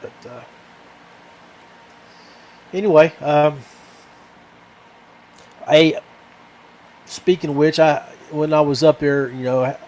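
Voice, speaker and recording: A man hosting a podcast alone in casual, rambling chat, often halting and repeating words. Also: on the high side, fairly even intonation, a little mellow, low-quality recording. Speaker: podcast host. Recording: clear background noise.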